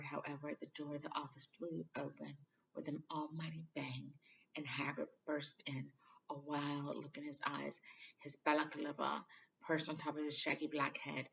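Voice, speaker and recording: A woman's voice: deep voice, gravelly, a bit bright, a little hiss or hum. A woman reading a children's novel aloud as narrator, recorded on a dull, distant home microphone. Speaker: storybook reader.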